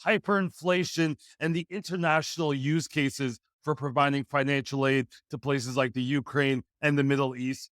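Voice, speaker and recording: A man's voice: on the high side, a bit bright, dry recording, clean studio sound. A man hosting a podcast, giving an upbeat intro and asking interview questions. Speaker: podcast host.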